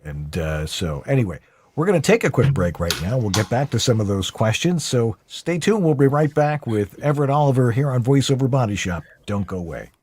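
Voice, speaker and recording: A man's voice: mid-range voice, big pitch swings, a little fast, a little mellow, slight background noise. A man co-hosting a live online interview show, with breezy broadcast-style patter. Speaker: podcast co-host. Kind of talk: casual livestream interview.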